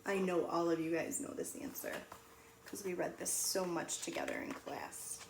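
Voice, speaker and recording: A woman teacher reading a storybook aloud to young children in an animated, sing-song way, pausing to ask them questions. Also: lowish voice, creaky. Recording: echoey room with faint noise.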